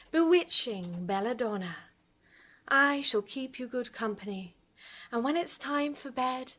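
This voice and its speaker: Bewitching horror radio hostess, highish voice, very slow, coy, arch, playfully sinister, theatrical. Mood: seductive, sinister. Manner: coy, seductive, arch, drawn-out. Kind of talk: theatrical gothic radio hosting. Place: festival theatre stage.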